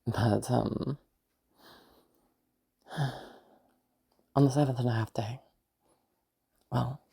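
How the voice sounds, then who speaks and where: A woman playing a detached, eerily calm sociopath who recounts the past in a halting, musing monologue with a husky, creaky voice. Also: rumbling low, heavily acted. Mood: detached reminiscing. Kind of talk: first-person audio roleplay monologue.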